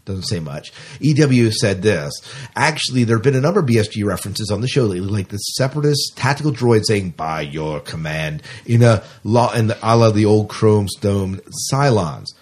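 A middle-aged man with a lowish, slightly husky voice hosts a podcast. He reads out entertainment news in a casual, chatty way. His delivery is informal, with a fan's enthusiasm. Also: animated, normal speed, a little mellow. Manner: informal, enthusiastic fan talk. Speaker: podcast host.

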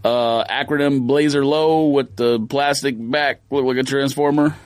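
A man's voice: medium pitch, fairly even intonation, unhurried, no room sound. A man with an American accent co-hosting a podcast, casually reviewing sneaker releases in an offhand, informal, teasing way.

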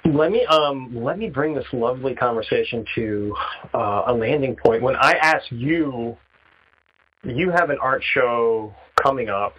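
Man, husky, medium pitch, informal host chatting with a friend, dull phone-call audio. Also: unhurried. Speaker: host, interviewer. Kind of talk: casual phone chat with a friend.

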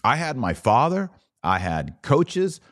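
A middle-aged man with an American accent giving an informal podcast monologue, telling personal stories emphatically with dramatic pauses on key words. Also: medium pitch, very animated, fairly bright tone. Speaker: podcast host.

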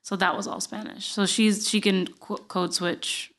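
A woman from Puerto Rico speaks at a middling pitch. She tells stories in a casual, animated way as a podcast guest, joking and slipping into remembered dialogue as she goes. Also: unhurried. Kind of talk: casual conversational storytelling.

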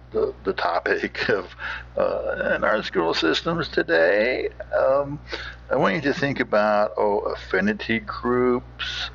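An American man hosts with halting, rambling commentary. His voice is fairly low, with heavy gravel and a forceful edge. The sound is a little dull. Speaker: podcast host. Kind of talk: unscripted commentary.

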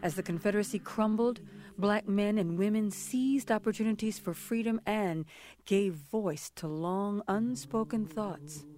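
A woman narrator with a very mellow, relaxed tone and medium pitch. She reads a scripted history in deliberate, formal phrases.

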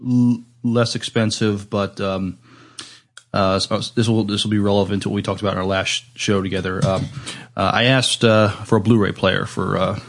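A man co-hosting a podcast, chatting casually in a halting, hesitant way. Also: fairly low pitch, little pitch movement. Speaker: podcast co-host. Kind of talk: casual chat.

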